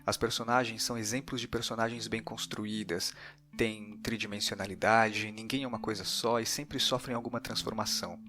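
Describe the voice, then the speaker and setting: A man's voice: fairly low pitch, steady tone, normal speed, bright, forceful tone. Brazilian man narrating over music. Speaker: podcast host. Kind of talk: scripted podcast narration.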